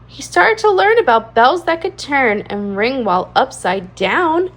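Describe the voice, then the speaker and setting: A woman with a high voice reads a picture book to young children. Her delivery swings widely up and down, with a singsong rhyming cadence and plenty of expressive emphasis on key words. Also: a little slow. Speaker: children's read-aloud channel host. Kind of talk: animated storybook read-aloud for young children.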